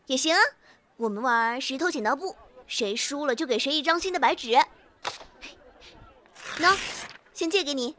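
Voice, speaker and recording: A woman's voice: high voice, expressive, measured pace, long pauses, bright, forceful tone, a little hiss or hum, exaggerated voice. A woman playing a chirpy, friendly schoolgirl classmate in an audio drama, with a coaxing, playful manner. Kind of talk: Chinese audio drama acting.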